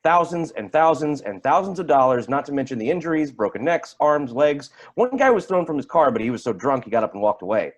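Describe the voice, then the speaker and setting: A man in a staged play reading as an edgy, foul-mouthed highway patrolman, stammering through his rants. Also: lively intonation, brisk, few pauses, lightly acted. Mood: agitated storytelling.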